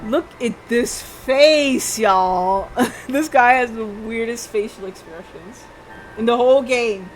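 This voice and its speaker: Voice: mid-range voice, slow pace, poor audio. A streamer reading a visual novel aloud and breaking off into playful, chatty asides to chat. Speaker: livestreamer. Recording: background music behind the voice. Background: music.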